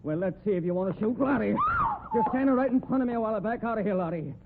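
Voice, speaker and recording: High, blustering rodeo villain, tinny recording. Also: male voice, lively intonation, fast talker. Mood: menacing, desperate. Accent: American. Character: cornered, defiant villainous rodeo boss, a killer. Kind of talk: old-time radio drama acting. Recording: noisy, tinny old radio recording.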